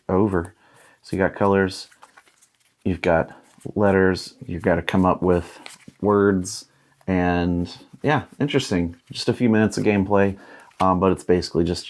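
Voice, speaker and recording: Man, thirties, low-pitched voice, laid-back, casual unboxing host, thinking out loud. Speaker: board game unboxing host. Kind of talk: casual unboxing commentary, reading rules aloud.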